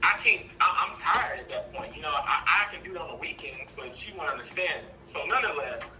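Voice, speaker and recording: Very bright-voiced American man calling in. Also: on the high side, expressive, fairly quick, slight background noise, poor audio. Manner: rambling, frequent "you know". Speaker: caller, father seeking custody. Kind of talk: casual personal storytelling.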